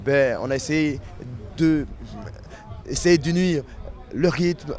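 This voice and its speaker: A man from the Ivory Coast, explaining in a documentary interview and often pausing on fillers. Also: on the high side, a little mellow. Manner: explanatory, with frequent hesitation fillers. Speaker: member of the Ivorian community in Dakar.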